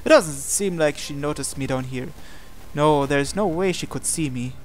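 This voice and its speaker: Higher-pitched man reading a visual novel. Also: normal speed, faint music behind. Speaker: host reading a game aloud. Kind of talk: visual novel narration with casual asides.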